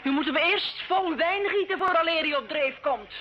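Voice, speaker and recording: A woman's voice: high-pitched, moderate pace, natural voice. Brash, mocking tavern prostitute for period radio play, female performer; flirtatious, noisy vintage sound. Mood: brash, gossiping. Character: brash, teasing tavern prostitute in medieval Rouen. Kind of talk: old radio drama acting. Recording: dull, noisy old radio recording.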